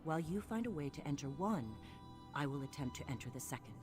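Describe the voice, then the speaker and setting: An actor voicing an earnest, scholarly adventurer in a fantasy game, speaking in a formal, measured, purposeful way. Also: mid-range voice, animated, music in the background, lightly acted. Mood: earnest, resolute. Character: earnest scholarly adventurer planning a tomb search. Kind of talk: scripted fantasy game dialogue.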